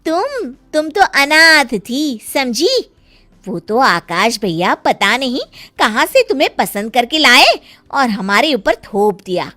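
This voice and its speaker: A woman's voice: sits high, sing-song, slow pace, sharp tone, faint music behind, full character voice. A woman playing a spoiled young daughter-in-law in a story, with a disdainful, entitled manner. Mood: contemptuous. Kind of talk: acted story dialogue.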